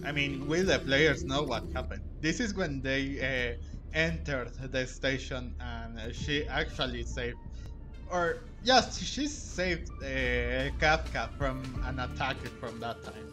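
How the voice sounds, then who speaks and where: A man's voice: mid-range voice, expressive, slow. A man giving casual, joking and teasing commentary while playing a game. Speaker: gaming streamer. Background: game music.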